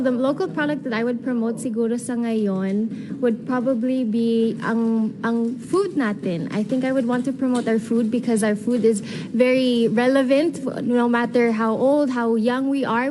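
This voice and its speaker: High-voiced young Filipino woman, noisy room. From Philippines. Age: young adult. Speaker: beauty pageant contestant. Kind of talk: formal pageant interview answers. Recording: clearly noisy room ambience.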